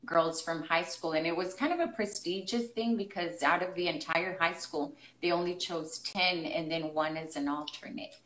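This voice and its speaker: A woman's voice: lowish voice, fairly even intonation, moderate pace, few pauses, fairly bright tone, roomy sound, a little hiss or hum, rough recording. A middle-aged woman with a Spanish-tinged Texas border accent, a guest talking casually and laughing often. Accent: Mexican-American Spanish-tinged. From Texas, US–Mexico border. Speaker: guest, dancer. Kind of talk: casual interview.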